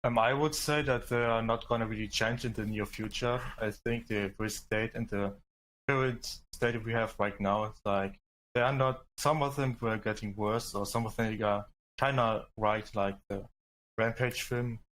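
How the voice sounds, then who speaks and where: A man with a slightly low voice speaks as a podcast panelist. He is hesitant and searches for his words, and faint noise sits behind him. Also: steady tone, normal speed, a bit bright, low-quality recording. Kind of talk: reading a question and answering casually.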